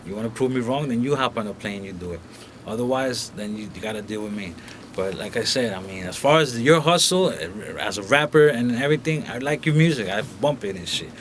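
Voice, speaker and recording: A man's voice: medium pitch, animated, a little fast, a bit bright, slight background noise. Blunt streetwise Colombian-born man. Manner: streetwise, blunt, profane, with frequent filler phrases. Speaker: interview guest. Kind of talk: casual interview talk. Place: recording studio.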